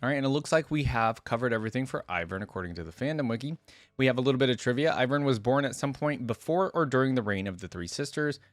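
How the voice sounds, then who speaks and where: A man's voice: medium pitch, animated, fast, dry recording. A man hosting a reaction video, commenting casually and musing aloud in an informal, conversational way. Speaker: host of a reaction channel.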